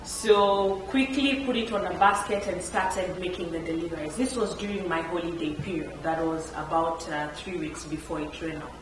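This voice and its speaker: A woman speaks on a panel, animated and quick to laugh as she tells her stories. Her voice sits a little low. She sounds far from the mic in a very echoey room, with clear background noise. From Kenya. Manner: animated, laughs often while telling stories. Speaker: entrepreneur on a panel. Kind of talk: storytelling at a panel talk. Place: event room.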